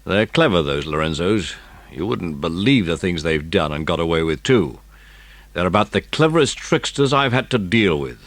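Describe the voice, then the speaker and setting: A man playing a genial, confiding British police chief with dry amusement, measured and authoritative, in an audiobook drama. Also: low-pitched, animated, fairly bright tone, no room sound. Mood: wry, assured.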